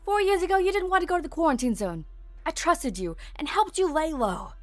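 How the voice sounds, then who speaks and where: A woman's voice: extremely high pitch, big pitch swings, a little fast, dry recording. A woman voicing a hard-nosed police officer with a commanding, bitter edge. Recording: dry close mic, faint music.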